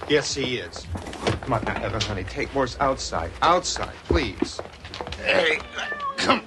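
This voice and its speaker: Placating family man, animated mid-range voice, mild temper, TV drama, noticeable background noise. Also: medium pitch, unhurried. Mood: gentle, teasing. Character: mild, placating suburban husband and father. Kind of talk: naturalistic television drama acting.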